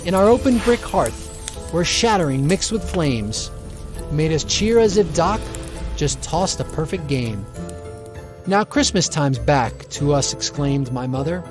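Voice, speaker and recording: A man's voice: a little high, lively intonation, measured pace. A man reciting his own rhyming poem in a theatrical, rhythmic storytelling manner. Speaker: poet reading own poem. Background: music.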